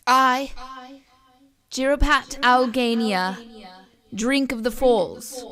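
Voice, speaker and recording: Woman as young princess, raised pitch, halting, overblown, clueless and smug, storybook, music behind. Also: fairly bright tone, exaggerated voice. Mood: grandly reciting. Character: clumsy, clueless, self-satisfied young princess. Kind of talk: audio storybook acting.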